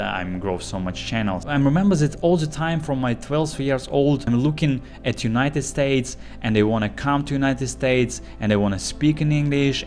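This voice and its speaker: Earnest young Ukrainian man, halting English. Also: on the low side, darkish tone. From eastern Ukraine. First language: Russian. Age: 20s. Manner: earnest, halting non-native English with fillers. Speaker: YouTuber. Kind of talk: personal confessional monologue.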